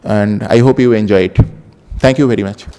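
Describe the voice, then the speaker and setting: A man giving a formal welcome as workshop host, halting and full of fillers. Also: lowish voice, warm tone, some chatter behind, a little hiss or hum. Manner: halting, many fillers and restarts. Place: large lecture hall. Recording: reverberant hall sound.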